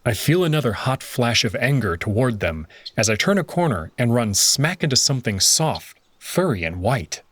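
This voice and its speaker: Expressive man narrating a story. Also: medium pitch, moderate pace, few pauses, no room sound. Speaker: visual novel reader. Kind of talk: first-person story narration.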